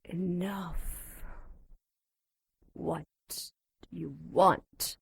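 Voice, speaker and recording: Slow, taunting demon seductress. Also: female voice, fairly low pitch, fairly even intonation, creaky, a little hiss or hum, heavily acted. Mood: desperate defiance. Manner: taunting, theatrical, drawn-out delivery. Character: captive female demon, seductive, sardonic, defiant. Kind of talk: audio drama acting.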